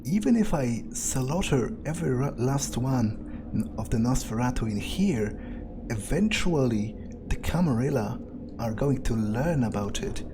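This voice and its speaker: A man's voice: mid-range voice, expressive, unhurried, noisy background. A man doing in-character game commentary, thinking aloud in a musing, hesitant, casually sweary way. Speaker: video game player narrating in character.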